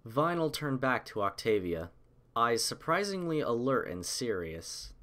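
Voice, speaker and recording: A man's voice: medium pitch, big pitch swings, unhurried, fairly bright tone. A man reading scripted story narration as the narrator of an audio tale.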